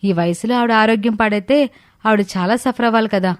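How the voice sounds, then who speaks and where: A woman playing a clever, dutiful young daughter-in-law who speaks politely and respectfully in an animated story. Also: on the high side, brisk, no echo, studio quality, lightly acted. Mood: earnest agreement. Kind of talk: animated moral story dialogue.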